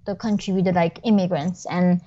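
A woman's voice: on the low side, steady tone, warm tone, muffled recording. A young woman with a Korean accent, speaking English as a second language, telling her personal story in an interview over a video call from her kitchen. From North Korea. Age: young adult. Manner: fast, emphatic, frequent "like" fillers. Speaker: guest. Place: home kitchen. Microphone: wired earbuds on video call.

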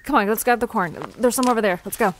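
A woman's voice: fairly high pitch, fast talker, fairly bright tone, slight background noise, close to an everyday voice, poor audio. A woman acting an anxious, pleading young woman who leads her friends in an audio drama. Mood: urgent, organizing.

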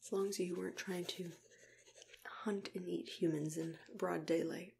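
Young adult woman, ASMR dentist roleplay. Whispery and soft-spoken, gentle, reassuring, close-miked. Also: on the low side, steady tone, moderate pace, creaky, a little hiss or hum.

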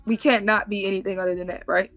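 A woman's voice: medium pitch, fairly even intonation, fast, fairly bright tone, soft background music. A woman in her thirties, joining a live stream over a video call and explaining things emphatically, often repeating herself. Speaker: guest.

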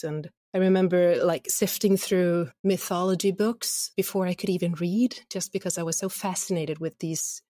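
Informal woman guest, lowish voice, dry sound. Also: mellow, dry recording. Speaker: guest, audio drama writer and voice actor. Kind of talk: casual interview chat.

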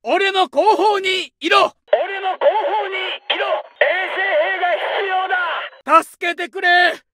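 An actor playing a young Japanese infantry soldier, barking clipped commands in a game. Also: male voice, extremely high pitch, takes it slowly, very noisy. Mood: commanding. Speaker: soldier voice lines. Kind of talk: barked game voice lines.

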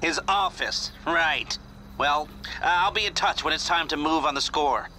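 High-pitched wry male heist planner. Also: measured pace, pushed and bright, low-quality recording. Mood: guarded. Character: shrewd middle-aged male heist planner, wry and cautious. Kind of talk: video game dramatic acting. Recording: game audio with clear background noise.

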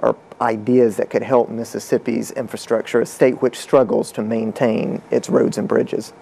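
A young man on a debate panel reading out a formal, prepared question into a table microphone. His voice is gravelly and dark, at a middling pitch for a man. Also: flat delivery, normal speed. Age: young adult. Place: indoor debate hall.

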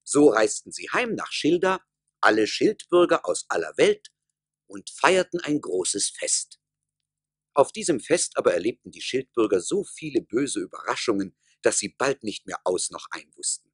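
A man's voice: mid-range voice, big pitch swings, normal speed, breathy edge, dry recording, clean studio sound. A man telling a story as the scripted narrator of a radio play.

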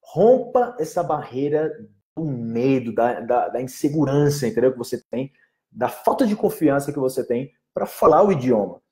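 A man, teaching in a casual live stream, animated and often checking that listeners follow. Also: mid-range voice, moderate pace. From Northeast Brazil. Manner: animated, gestures a lot, often asks "entendeu?". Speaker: Portuguese teacher.

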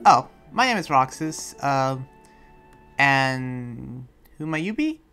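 A person improvising a relaxed demon slayer in a game roleplay, with a hesitant, halting delivery. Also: mid-range voice, clean tone. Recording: music behind the voice.

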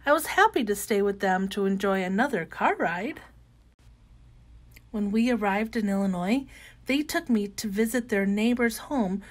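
A woman voicing a cheerful, wide-eyed young caterpillar turned butterfly in an expressive, gentle children's storybook reading. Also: mid-range voice, normal speed, close to an everyday voice. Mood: happy wonder. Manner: gentle, expressive, with excited exclamations. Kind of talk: animated read-aloud of children's picture book.

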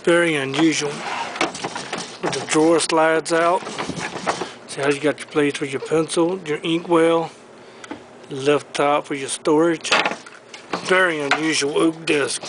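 Male auctioneer for a sale preview, slightly high voice, unhurried short item call-outs, handheld camera sound. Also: steady tone. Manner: clipped, listing items one by one. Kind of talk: walk-through item commentary in short phrases. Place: large indoor auction showroom full of furniture. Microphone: handheld camera microphone. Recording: noisy handheld camera in a showroom.

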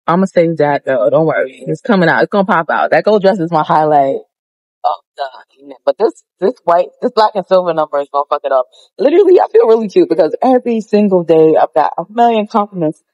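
A woman's voice: on the low side, a little mellow, dry recording, rough recording. A young woman vlogging casually to her phone while lying outdoors on a windy cruise ship deck, chatty and slangy. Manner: chatty, slangy, laid-back. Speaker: travel vlogger. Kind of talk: casual chat to camera. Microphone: phone held at arm's length.

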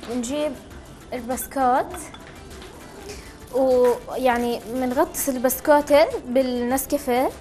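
A woman's voice: high-pitched, steady tone, measured pace, pauses often, mellow, soft background music. A woman casually presenting a recipe step by step on a show.

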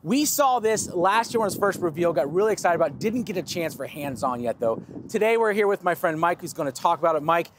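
A man hosting a tool show, interviewing exhibitors with enthusiastic quick reactions at an outdoor trade show. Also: a little high, animated. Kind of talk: upbeat show-floor interview. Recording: outdoor crowd noise.

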